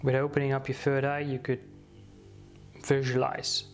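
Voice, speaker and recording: A man leading a guided meditation, speaking slowly and soothingly with many pauses and restarts. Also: medium pitch, monotone, soft background music.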